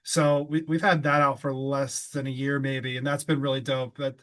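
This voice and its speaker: A man in his thirties, laid-back and quick to laugh, chatting about his band. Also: medium pitch, little pitch movement, fairly bright tone. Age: 30s. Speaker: band member. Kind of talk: casual interview chat.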